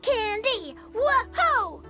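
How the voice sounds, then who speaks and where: A woman voicing an excitable small child in a video game, with music behind. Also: very high voice, very slow, full character voice. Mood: gleeful. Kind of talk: English video game voice acting.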